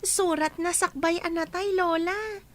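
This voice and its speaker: Young granddaughter, very high, bright and sharp, giggly. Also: female voice, steady tone, unhurried, slight background noise. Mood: earnest. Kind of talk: radio drama acting.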